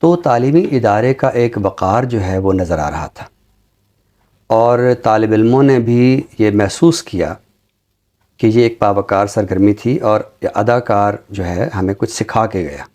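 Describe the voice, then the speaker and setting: A middle-aged Pakistani man with a low, very soft-edged, mellow voice. He talks like a university teacher hosting a literary programme, conversational yet formal, choosing his words with care. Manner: formal, deliberate word choice. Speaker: talk show host, university teacher.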